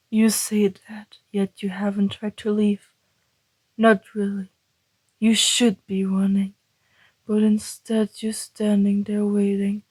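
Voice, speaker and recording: A woman playing a suave, teasing male vampire in an ASMR roleplay, speaking in a breathy, deliberate, flirtatious half-whisper. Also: mid-range voice, a little mellow, no echo, poor audio. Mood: amused, tempted.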